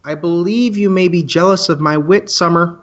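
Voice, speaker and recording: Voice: medium pitch, normal speed, muffled recording, close to an everyday voice. An actor playing a wisecracking, teasing teenage student radio co-host in an amateur radio play, with playful mock-formality.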